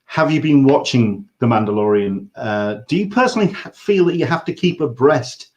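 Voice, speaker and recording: A man from England with an English accent hosting a casual interview, haltingly searching for his words. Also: medium pitch, expressive, a little mellow. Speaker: interview host.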